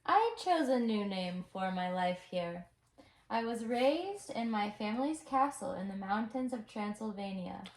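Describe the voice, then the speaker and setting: A woman's voice: medium pitch, lively intonation, measured pace, echoey room. A woman reading a storybook character's dialogue aloud in a home recording. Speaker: co-reader on a child's home video.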